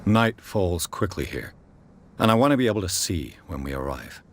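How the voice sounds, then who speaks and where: An actor playing a brooding, blunt private detective in a video game, with a dry, pointed, questioning delivery. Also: male voice, low voice, very animated, normal speed. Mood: businesslike. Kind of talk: scripted game dialogue. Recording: clear background noise under the voice.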